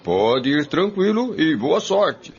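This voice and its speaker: A hearty, courteous innkeeper from a radio play, acted by a man, with hugely swinging intonation and a gravelly edge. He reassures and encourages in a friendly way, on a dull, lo-fi recording. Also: a little high, sing-song, slow pace, heavily acted. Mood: cheerful. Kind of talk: radio drama acting. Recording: dull lo-fi audio.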